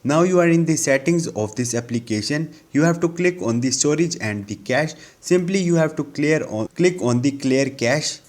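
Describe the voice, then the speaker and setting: A man's voice: fairly high pitch, animated, normal speed, hardly any pauses, a little mellow. A man hosting an instructional tutorial, giving simple step-by-step directions in non-native English phrasing. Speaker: tutorial host. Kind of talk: instructional screen tutorial narration.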